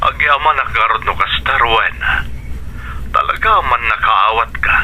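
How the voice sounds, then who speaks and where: A man's voice: medium pitch, moderate pace, pushed and bright, a little hiss or hum, low-quality recording. A man playing a concerned, placating husband and father in a Philippine radio drama, speaking earnestly.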